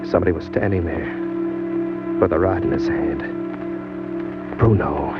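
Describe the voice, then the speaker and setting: Radio noir lead: man playing hard-boiled, broke drifter, very low voice, wry and slangy, muffled old broadcast sound. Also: moderate pace, lightly acted. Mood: grim narration. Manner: tough, slangy, wisecracking. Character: hard-boiled broke drifter narrating his crime story. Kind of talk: old-time radio crime drama acting. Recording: dull old radio recording with music.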